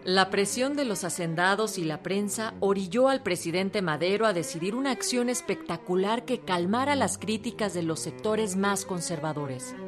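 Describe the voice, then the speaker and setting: A woman's voice: mid-range voice, animated, normal speed, hardly any pauses. A woman giving formal, emphatic scripted narration for a historical radio drama. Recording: narration over clear string music.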